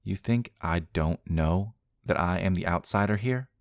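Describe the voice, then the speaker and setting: A man's voice: low voice, a little slow, dry recording, clean studio sound. A man voicing a defiant young woman who speaks coldly and bluntly.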